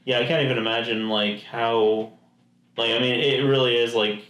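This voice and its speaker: A man's voice: mid-range voice, fairly even intonation, moderate pace, a bit bright. A man co-hosting a podcast, talking casually and rambling with lots of fillers and restarts. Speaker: podcast co-host. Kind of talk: casual chat about video games. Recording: echoey room.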